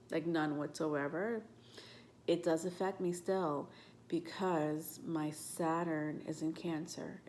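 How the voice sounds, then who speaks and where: A woman's voice: sits low, gravelly, a little mellow, a little hiss or hum. A woman with a stuffed-up nose talking casually to camera as a tarot and astrology vlogger, rambling with lots of ums and self-corrections.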